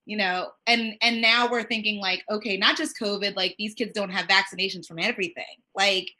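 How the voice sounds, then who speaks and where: Woman child life specialist, bright tone, rambly, says "like" constantly. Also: medium pitch, fairly quick. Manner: rambling, heavy use of "like", quoting others in lively voices. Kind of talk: casual conversation on a podcast.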